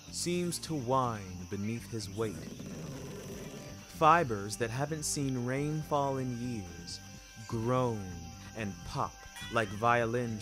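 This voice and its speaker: A man narrating a fiction podcast in the first person, with florid, precise, theatrical delivery. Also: medium pitch.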